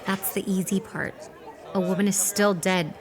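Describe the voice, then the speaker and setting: A woman's voice: mid-range voice, steady tone, normal speed, crowd noise, lightly acted. A woman playing a guarded, level-headed operative in a naturalistic drama, dry and pointed. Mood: tense, challenging. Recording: music under dialogue.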